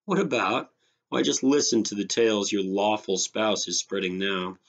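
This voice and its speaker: A man's voice: on the low side, normal speed. A man voicing an indignant, sarcastic grown man nursing a grievance, in a scornful, snorting storybook reading. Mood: bitterly indignant.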